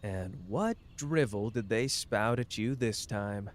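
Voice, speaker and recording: A man's voice: fairly low pitch, takes it slowly. A man playing a cocky, playful young sorcerer teacher in an ASMR roleplay, breezy and teasing as he speaks to the listener. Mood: breezy, casual. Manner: breezy, teasing, self-assured.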